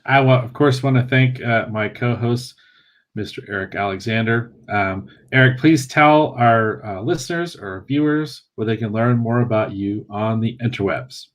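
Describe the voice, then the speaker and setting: A man hosting a casual livestream show, wordy and courteous, in halting phrases. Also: medium pitch. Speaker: podcast host.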